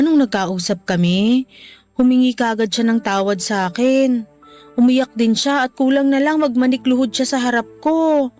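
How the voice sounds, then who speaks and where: A woman's voice: a little high, natural voice. A woman acting a tender, apologetic mother in a Filipino radio drama, speaking earnestly and emotionally. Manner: earnest, emotional, soft-hearted. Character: tender, apologetic mother pleading with her grown child.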